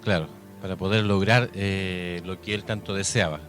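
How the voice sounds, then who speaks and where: A man's voice: low voice, fairly even intonation, moderate pace, fairly bright tone. A man with a Chilean accent hosting a radio show in a conversational way, hesitantly searching for his words. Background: music.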